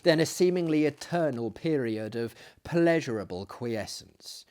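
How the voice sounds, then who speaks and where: Slow, theatrical male gothic storyteller. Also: mid-range voice, expressive. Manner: hammy, deliberate, swinging from hushed to loud. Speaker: dramatic reader, performer. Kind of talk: theatrical dramatic reading of a gothic horror story.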